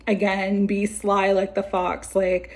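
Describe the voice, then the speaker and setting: Woman reading tarot, losing her voice, kinda gravelly and flat, lots of ums. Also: mid-range voice, slow pace. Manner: gentle, meandering, many fillers. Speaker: tarot card reader.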